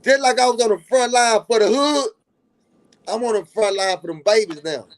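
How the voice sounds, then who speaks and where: A man's voice: high voice, moderate pace, a little hiss or hum. A man from Dallas, talking earnestly in a casual live stream and repeating himself for emphasis. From Dallas, Texas.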